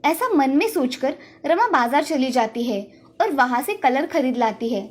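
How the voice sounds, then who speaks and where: A woman's voice: sits high, lively intonation, unhurried. A woman narrating a scripted children's story as a storyteller.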